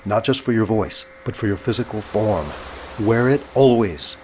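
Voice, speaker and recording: An actor playing a desperate, devoted inventor hero in a retro science fiction radio drama, with earnest dramatic delivery. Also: male voice, lowish voice, moderate pace, a little mellow, slight background noise, close to an everyday voice, low-quality recording. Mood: urgent.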